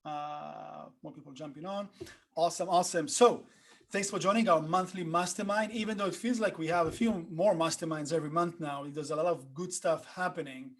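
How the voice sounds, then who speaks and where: A man casually hosting an online panel on a video call, talking off the cuff in an informal, halting way. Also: on the high side, fairly even intonation. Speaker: host.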